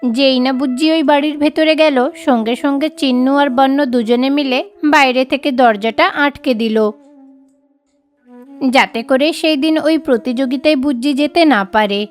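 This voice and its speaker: Woman, high-pitched voice, children's story narrator, scripted reading, light music behind. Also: normal speed.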